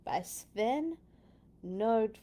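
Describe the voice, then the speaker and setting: A woman's voice: fairly high pitch, lively intonation, extremely slow, dark tone. A woman hosting a children's read-aloud, speaking informally and chatting in asides to her listeners between passages. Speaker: children's storytime host.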